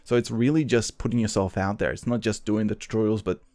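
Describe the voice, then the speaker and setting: Soft-voiced Australian man, low and dry. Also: fairly even intonation, quick pace, dry recording. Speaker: live stream host. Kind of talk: casual live stream chat.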